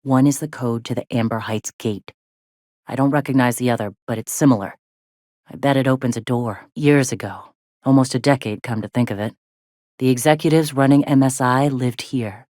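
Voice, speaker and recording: A woman's voice: extremely low pitch, moderate pace, darkish tone, dry recording. Blunt, weary rebel woman leader, dry close recording. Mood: serious, explanatory. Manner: blunt, folksy, colloquial. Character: weary, plain-spoken rebel lieutenant woman turned leader. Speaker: video game character voice. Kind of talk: scripted game dialogue.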